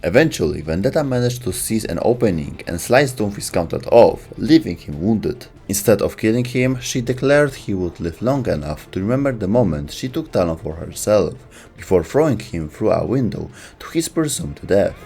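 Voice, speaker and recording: A man's voice: lowish voice, big pitch swings, normal speed, vocal fry, warm tone. A man hosting a video, reading scripted narration about game lore, with some wry delivery.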